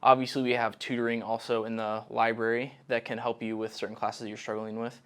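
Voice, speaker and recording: A young man, a college sophomore from Oregon, talking in a relaxed, reflective way. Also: medium pitch, brisk, clean tone. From Oregon, USA. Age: young adult. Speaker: college student.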